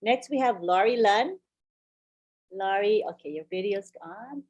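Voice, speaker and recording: A woman's voice: medium pitch, animated, a little slow, darkish tone. Woman host on dull lo-fi audio. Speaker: host introducing speakers. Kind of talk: brief hosting of an online meeting.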